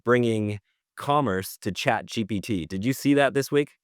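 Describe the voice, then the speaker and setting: Male podcast co-host, low voice, close dry sound, keen and explanatory, conversational delivery. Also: moderate pace, clean tone, no room sound. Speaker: podcast co-host presenting news. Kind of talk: casual news discussion.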